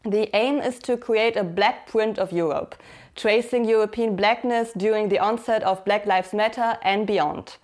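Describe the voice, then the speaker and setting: Woman, podcast host, mid pitch, scripted intro, questions to guests. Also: moderate pace. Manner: reads smoothly, then asks with fillers.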